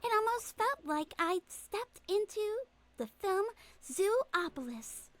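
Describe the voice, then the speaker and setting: A woman voicing a small, gentle, girlish head nurse who is polite and earnest, in a video game fan dub. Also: extremely high pitch, very animated, slow, exaggerated voice. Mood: delighted.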